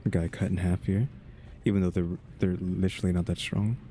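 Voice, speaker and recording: A male gaming streamer with a low voice talks casually to himself as he plays. His delivery is wry and rambling, with game music clearly audible behind him. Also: flat delivery, brisk, warm tone. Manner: musing aloud, wry, rambling. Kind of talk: casual off-the-cuff gameplay commentary.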